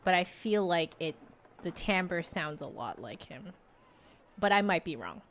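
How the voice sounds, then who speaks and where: A woman's voice: lowish voice, animated, measured pace, fairly bright tone, slight background noise. A woman giving casual live game commentary as a streamer, chatty and rambling. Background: game music.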